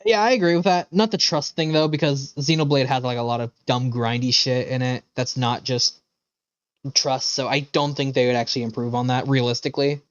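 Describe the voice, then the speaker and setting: A man's voice: mid-range voice, steady tone, a little fast, smooth, dry recording. Man streaming games, dry, slightly dull sound. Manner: opinionated, profane, rambling. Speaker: video game streamer. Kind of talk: casual live stream chat with viewers.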